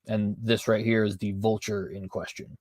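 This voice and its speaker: A man's voice: lowish voice, little pitch movement, moderate pace, a little mellow, no room sound. A man hosting a podcast, reading prepared commentary aloud with frequent fillers and self-corrections. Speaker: podcast host. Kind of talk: apologetics commentary reading notes.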